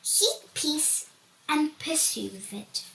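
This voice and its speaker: Woman reading as an earnest bear preacher, slow delivery. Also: high voice, sing-song, vocal fry, pushed and bright, heavily acted. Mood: earnest admonishing. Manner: admonishing, scripture-quoting. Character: upright, pious male bear preacher, adult. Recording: roomy, distant mic, faint noise.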